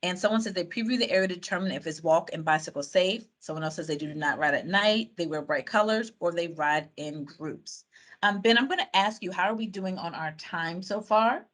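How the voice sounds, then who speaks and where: A woman with a lowish voice runs a community meeting over a video call. She reads out and sums up typed answers in a loose, chatty way, full of fillers and restarts. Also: fairly quick, fairly bright tone. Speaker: meeting facilitator. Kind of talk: reading out and summing up audience answers on a video call.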